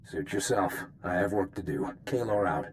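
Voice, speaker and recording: Brusque male officer, lowish voice. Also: lively intonation, normal speed, slight background noise. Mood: impatient. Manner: offhand, impatient. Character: weary, brusque male bureaucratic military officer. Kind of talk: video game dialogue acting.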